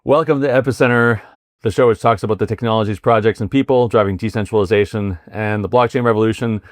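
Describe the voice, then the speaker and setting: A man hosting a podcast interview, asking questions in a halting way with many fillers and restarts. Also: lowish voice, steady tone, no echo, studio quality. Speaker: podcast host. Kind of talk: casual interview with read ad intro.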